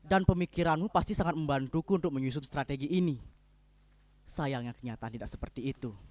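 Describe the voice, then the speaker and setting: An actor playing an anxious, idealistic husband in a dramatic reading, speaking in an earnest, apologetic manner. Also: male voice, fairly high pitch, fast, darkish tone, no echo, lightly acted. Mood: guilty, worried. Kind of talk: dramatic reading of a stage play.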